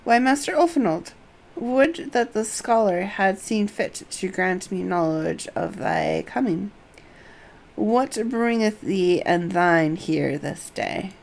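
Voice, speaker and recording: A woman's voice: mid-range voice, animated, takes it slowly, gravelly, darkish tone. A woman voicing a solemn male scholar from a fantasy game, with grave, archaic phrasing. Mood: welcoming, formal.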